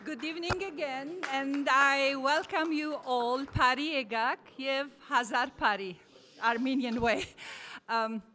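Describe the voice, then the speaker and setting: A woman's voice: sits high, measured pace, bright, forceful tone. A woman from Lebanon giving an earnest, heartfelt address at a community lodge meeting in a church hall. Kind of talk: formal address. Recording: hall reverb.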